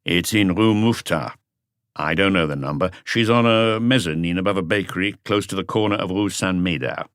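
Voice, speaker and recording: A man voicing a calm, laconic senior police detective in an audiobook, terse and authoritative. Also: deep voice, fairly quick, no room sound, clean studio sound. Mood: firm. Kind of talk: audiobook character dialogue.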